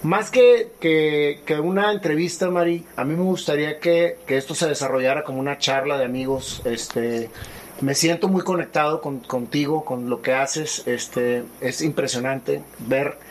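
A man's voice: on the high side, normal speed, slight background noise. A man, hosting an interview in a casual, conversational style. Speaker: interview host.

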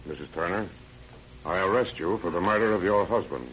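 A man playing a stern, measured small-town sheriff in an old-time radio drama. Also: sits low, a bit bright. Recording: muffled, noisy vintage radio recording.

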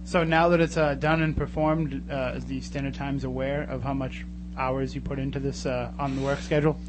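A man's voice: medium pitch, level tone, brisk. A man hosting a casual live radio interview in a studio, chatty and wordy. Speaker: radio show host. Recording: radio studio, loud noise and hum.

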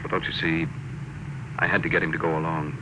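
An actor playing a shrewd, cocksure space tycoon with a wry, commanding manner in an old-time radio drama. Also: male voice, very deep voice, level tone, fairly quick. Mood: amused, smug. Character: shrewd, brash, amused space-drive tycoon, a man. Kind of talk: 1950s radio drama acting. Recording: old radio recording with faint noise.

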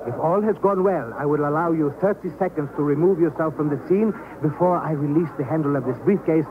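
An actor playing a coldly polite villain in a radio thriller, formal, precise and smug, on an old recording. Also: male voice, a little high, brisk, a crowd in the background, natural voice. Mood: cold menace. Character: coldly polite, pale-eyed foreign bomber villain. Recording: tinny, phone-like vintage radio recording.

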